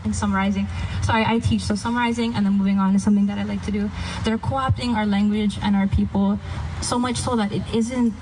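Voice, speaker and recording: A woman's voice: medium pitch, fairly even intonation, quick pace, darkish tone, slight background noise, rough recording. A young woman from Hawaii speaking passionately at an outdoor gathering, casual with self-deprecating asides. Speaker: activist speaker. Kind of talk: speech at a rally.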